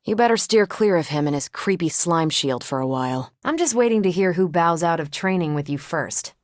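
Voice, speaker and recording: A woman's voice: lowish voice, lively intonation, a little fast, dry recording, clean studio sound, close to an everyday voice. An actress playing a confident, brash and loyal female superhero in a video game, with a wry, casual, self-assured way of talking.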